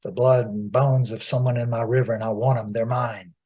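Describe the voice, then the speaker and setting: A man's voice: mid-range voice, steady tone, brisk, dark tone, slightly rough, lightly acted. A man voicing a menacing, hungry male river demon in a told story.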